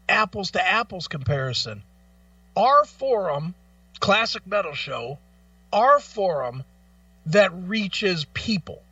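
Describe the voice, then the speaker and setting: A man's voice: high voice, bright, forceful tone, no echo, far from the mic, dull audio. A man hosting a talk show with blunt, emphatic, opinionated commentary, casual in style and repeating phrases for stress.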